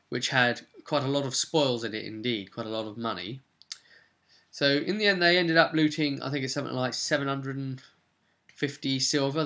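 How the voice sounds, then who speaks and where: A man with a very bright, forceful voice talks as a game master on his vidcast. He recaps a tabletop role-playing session in a casual, explanatory way, leaning hard on his points and addressing the viewer directly. His pitch sits in an ordinary middle range for a man.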